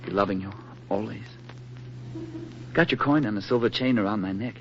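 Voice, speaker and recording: An actor playing an affable, earnest young American soldier and sweetheart in a 1940s radio drama. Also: male voice, fairly low pitch, normal speed, lightly acted. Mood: urgent, loving. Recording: muffled, noisy 1940s radio recording.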